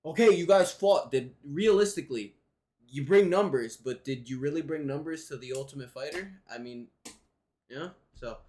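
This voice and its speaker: Brief: male podcast co-host, slightly high voice, rambling jokey banter. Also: normal speed. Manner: rambling, joking, animated banter. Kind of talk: casual chat about fights.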